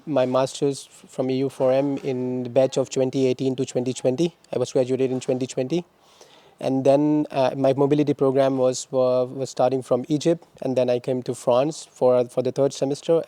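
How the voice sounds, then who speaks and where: Halting young man from Pakistan, flat and mellow. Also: medium pitch, dry recording. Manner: halting, many restarts and repeated words. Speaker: interviewee, former master's student. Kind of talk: casual interview answers.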